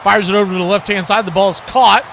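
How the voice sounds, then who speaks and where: High-pitched American man calling football. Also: steady tone, fast, creaky, a bit bright, slight background noise. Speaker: play-by-play announcer. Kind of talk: live American football play-by-play commentary.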